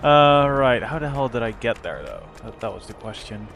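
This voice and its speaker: A young man with a slightly rough voice giving rambling, casual commentary while playing a game. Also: medium pitch, lively intonation, moderate pace, fairly bright tone. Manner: rambling, self-correcting. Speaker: let's play host.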